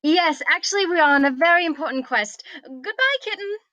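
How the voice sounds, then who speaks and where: A woman's voice: very high voice, lively intonation, brisk, pushed and bright, dry recording, lo-fi sound, exaggerated voice. A woman acting a haughty, entitled princess in a script read, with prim, imperious, formal diction. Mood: polite, earnest.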